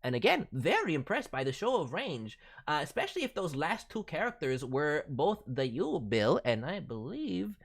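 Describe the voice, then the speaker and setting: A man's voice: fairly high pitch, big pitch swings, moderate pace, vocal fry, hardly any pauses, husky, exaggerated voice. A man voicing an eager, encouraging cartoon raccoon mascot who hosts with cutesy, playful wording in casual livestream commentary. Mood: excited, encouraging. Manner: cutesy playful word forms. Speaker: VTuber host reviewing voice demo reels.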